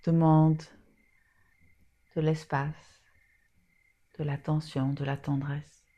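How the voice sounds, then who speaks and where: Slow, low-pitched woman guiding relaxation. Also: little pitch movement, lo-fi sound. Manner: soothing, soft and breathy at times, long pauses. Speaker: yoga nidra guide. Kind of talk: guided relaxation meditation.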